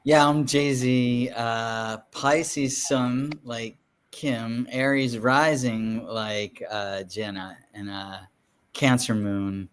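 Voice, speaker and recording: Slow, smooth-voiced man. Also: medium pitch, steady tone. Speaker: guest. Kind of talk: casual chat.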